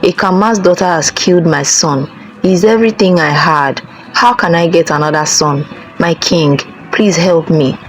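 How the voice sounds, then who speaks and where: A woman's voice: lowish voice, normal speed, soft background music, close to an everyday voice, poor audio. A woman voicing a grieving village mother who begs the king desperately for help. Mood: grieving plea. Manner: desperate begging.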